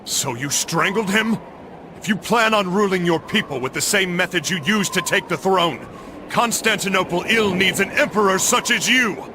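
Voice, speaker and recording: Man playing a high-voiced, stern young knight. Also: moderate pace, background noise, lightly acted. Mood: accusing. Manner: earnest, declamatory, stern. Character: righteous young male knight, determined, stern, vengeful. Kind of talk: video game voice acting. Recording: dramatic music behind the voice.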